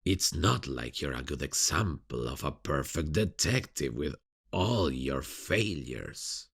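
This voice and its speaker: A man playing a sadistic, playful serial killer in an ASMR roleplay, mocking and teasing in a husky, gravelly voice with drawn-out delivery. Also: a bass voice, expressive, fairly bright tone, full character voice. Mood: mocking amusement.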